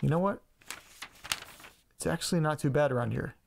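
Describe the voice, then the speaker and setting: A man's voice: a little high, fairly quick, creaky, darkish tone, slight background noise. A man acting as an anxious, chatty young man in an audio drama, rambling and hesitant in a casual, naturalistic way. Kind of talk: naturalistic first-person audio drama, talking to himself.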